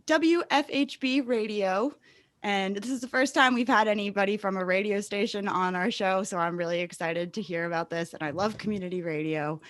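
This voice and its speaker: A woman's voice: medium pitch, dry recording, clean studio sound. A woman hosting a podcast, presenting and welcoming guests in a prepared interview style. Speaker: podcast host. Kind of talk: scripted introduction and interview hosting.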